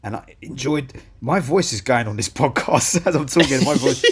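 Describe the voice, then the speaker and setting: A young man co-hosting a casual film review podcast, blunt and teasing, swearing freely and a little hoarse. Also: mid-range voice, animated, moderate pace. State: losing his voice. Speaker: podcast co-host.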